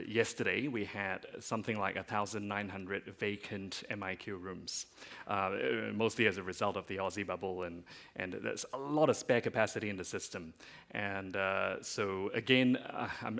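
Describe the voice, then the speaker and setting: Guy from malaysia on a panel, low gravelly voice, lots of uhs and ums. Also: a bit bright. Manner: hesitant, many fillers and restarts. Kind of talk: panel discussion remarks.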